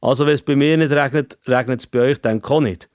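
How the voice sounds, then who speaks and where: A man's voice: medium pitch, moderate pace, a little mellow, clear, resonant tone, dry recording, studio quality, pitched above the performer's own voice, close to an everyday voice. A man with a Swiss German accent voicing an old-fashioned civil servant in a story, pedantic, formal and insistent. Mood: loud, insistent.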